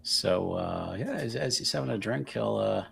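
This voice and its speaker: A man's voice: fairly low pitch, lively intonation, normal speed, gravelly, slight background noise. A man playing a tabletop roleplaying game, narrating casually and hesitantly as he thinks aloud.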